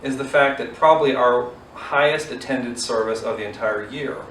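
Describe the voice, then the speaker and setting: A man's voice: mid-range voice, moderate pace, fairly bright tone. A man hosting a casual interview as a church pastor, asking questions in a conversational way. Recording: echoey, distant mic, faint noise.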